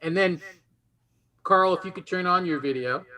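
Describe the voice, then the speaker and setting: Male game show host, high and bright voice, chatty, casual, rephrasing as he goes. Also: normal speed.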